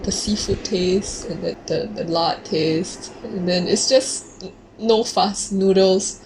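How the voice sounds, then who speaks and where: Woman, young adult, Singaporean English accent, relaxed and playful, casual chat, faint background noise. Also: mid-range voice, slow. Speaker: podcast co-host.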